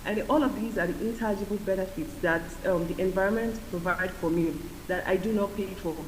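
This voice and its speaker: A woman's voice: mid-range voice, brisk, a little hiss or hum. Earnest woman in an echoey hall. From Nigeria. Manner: earnest, explanatory, many fillers. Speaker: community respondent. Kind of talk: conference panel talk.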